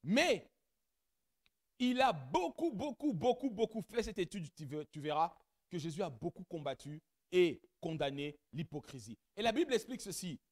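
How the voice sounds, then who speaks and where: A middle-aged man preaching a church sermon whose first language is not French, speaking animatedly and rising to loud emphatic peaks as he addresses the congregation. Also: high voice, big pitch swings, normal speed. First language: not French; has another mother tongue. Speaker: pastor.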